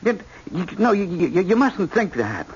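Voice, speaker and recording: An actor playing a frank, brooding young male killer in prison, halting as he searches for words, in a vintage radio drama. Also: sits high, very animated, husky, full character voice. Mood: urgent, persuading. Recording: dull lo-fi old radio recording.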